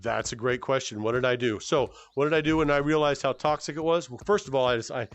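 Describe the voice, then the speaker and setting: A man's voice: mid-range voice, fast, slight background noise. A man in his forties answering fan questions in a casual, easygoing way as he plays a VR game, rambling a bit and often restarting his sentences. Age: 40s. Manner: rambling and self-correcting, with many fillers and restarts; easygoing and good-humored. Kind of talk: casual Q&A chat while playing a VR game.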